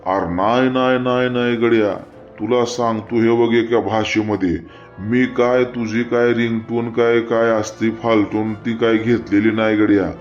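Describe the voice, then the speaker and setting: Dull, lo-fi audio with clear music behind it carries a man acting an irate cartoon village farmer. He speaks rural Maharashtrian Marathi at a middle pitch, blunt and stubborn. He haggles and curses in a rustic, repetitive way. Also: fairly even intonation, a little slow, close to an everyday voice. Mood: stubborn protest. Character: irate, stubborn, foul-mouthed village farmer complaining to customer care. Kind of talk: comic cartoon phone-call sketch.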